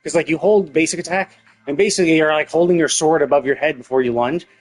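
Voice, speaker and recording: A man's voice: on the high side, fast talker, poor audio. A man doing casual live-stream commentary on a game, rambling analytically as he thinks aloud.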